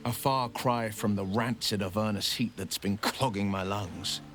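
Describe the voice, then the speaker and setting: An actor playing a battle-seasoned heroic adventurer in a video game, with a theatrical storytelling delivery. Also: male voice, on the low side, steady tone, a little slow, fairly bright tone, soft background music. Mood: determined, rousing. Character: heroic adventurer man, battle-seasoned monster hunter, warm comrade. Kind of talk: video game character dialogue.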